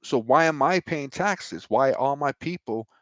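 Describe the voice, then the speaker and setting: A man's voice: mid-range voice, lively intonation, normal speed, no echo. A man hosting his own online show, talking informally and emphatically about scripture and his own grievances, with many "right?" and "you know" asides. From United States. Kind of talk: informal religious talk to camera.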